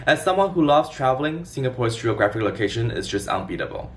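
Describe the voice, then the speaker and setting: A man in his twenties from China giving a scripted explainer vlog in a clear, organized way. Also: mid-range voice, big pitch swings, quick pace, far from the mic, slight background noise. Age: 20s. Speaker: vlogger.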